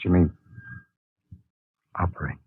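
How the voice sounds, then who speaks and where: An actor playing a hesitant, uneasy husband in a 1950s radio drama. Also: male voice, takes it slowly, natural voice. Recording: tinny lo-fi 1950 radio broadcast.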